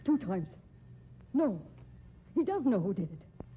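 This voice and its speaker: An actor playing an anxious, eager sideshow performer, urgent and excitable, in a 1950s radio drama. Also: male voice, high-pitched, slow, slightly rough, exaggerated voice. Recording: muffled, noisy 1950s radio recording.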